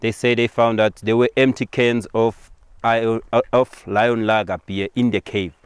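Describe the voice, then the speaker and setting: A man's voice: medium pitch, little pitch movement, normal speed, smooth, no echo. A man from Eswatini, a native Siswati speaker with a Swazi accent, explains things as a local tour guide, repeating and rephrasing simply.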